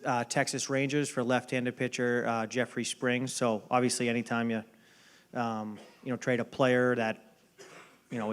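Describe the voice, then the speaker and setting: A man with a Boston accent answers questions at a casual pace, his voice smooth and resonant. He fills his answers with ums and restarts, sounding easygoing and unhurried. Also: medium pitch. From Boston area. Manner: relaxed, many ums and restarts. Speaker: baseball front office executive. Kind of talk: casual interview at a dinner event.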